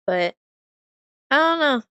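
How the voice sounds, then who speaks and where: A woman's voice: sits high, big pitch swings, slow, fairly bright tone, dry recording, lo-fi sound. A young woman streamer chatting casually while she eats and works, rambling with lots of "like" fillers. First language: French by heritage, English first language. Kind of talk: casual chat while eating and working.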